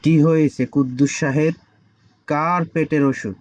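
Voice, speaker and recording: A man's voice: on the high side, fairly even intonation, moderate pace, faint music behind, close to an everyday voice, rough recording. A man voicing an easily flustered, incredulous village doctor in a comic cartoon. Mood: businesslike. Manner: incredulous, exasperated.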